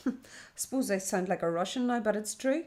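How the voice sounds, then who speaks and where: A woman's voice: mid-range voice, normal speed, a little mellow. A woman reminiscing in an earnest way.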